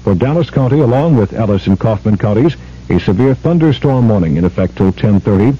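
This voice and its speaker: A man's voice: fairly low pitch, animated, fairly quick, a little hiss or hum. A man reading a weather warning bulletin on radio in a formal, factual way. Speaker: radio news announcer. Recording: dull, muffled old radio broadcast.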